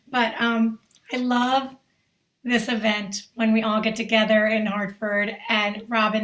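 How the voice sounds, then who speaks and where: Hoarse woman chatting on a video call. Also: medium pitch, a bit bright, echoey room. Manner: chatty and effusive, rambling asides. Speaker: federation volunteer leader, featured speaker. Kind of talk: off-the-cuff remarks on a video call. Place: at home. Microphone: video call mic.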